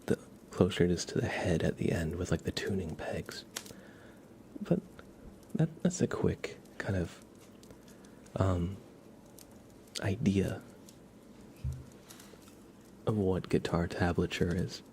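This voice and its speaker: Male ASMR live streamer, low and mellow, soft with slips into whisper, informal halting explainer, slight noise behind.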